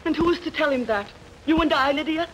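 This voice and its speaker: An actress dubbing a young captive princess in a sword-and-sandal film, grieving, bitter and melodramatically grave. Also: female voice, high-pitched, fast, fairly bright tone. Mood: grave, despairing. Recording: faintly noisy old film soundtrack.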